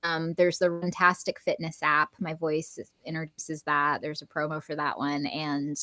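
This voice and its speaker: A woman's voice: deep voice, level tone, normal speed, pushed and bright, no room sound. A woman in her thirties from Southern California with a neutral American accent, chatting casually as an interview guest and filling her talk with "like" and laughs. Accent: General American. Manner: chatty, many "like" fillers, laughs often. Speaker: podcast guest, voice actor and coach.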